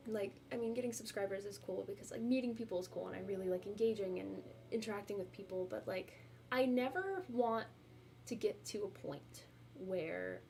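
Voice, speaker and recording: A young woman streamer talking casually with her viewers, rambling and self-deprecating, with lots of fillers. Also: medium pitch. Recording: music playing behind.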